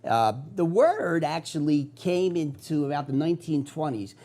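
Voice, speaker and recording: A man's voice: fairly high pitch, very animated, moderate pace, warm tone. An older man from South Philadelphia with a Philadelphia accent, hosting a local TV talk show in an enthusiastic, conversational way. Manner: enthusiastic, conversational, with filler uhs. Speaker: host.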